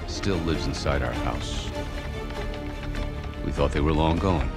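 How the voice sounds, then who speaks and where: A man's voice: very deep voice, slow, over loud music, heavily acted. An actor playing a grave, menacing covert-operations man in a video game cutscene, speaking tersely and ominously. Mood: grim, ominous.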